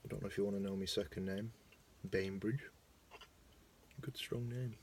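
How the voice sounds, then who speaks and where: Young Lancashire man, lowish voice, chatty and self-mocking, filming on his phone in his car. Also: steady tone, normal speed, heavy background noise. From Lancashire, England. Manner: chatty, self-mocking, swings between loud bursts and mumbling asides. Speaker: vlogger. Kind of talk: casual rambling monologue. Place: parked car.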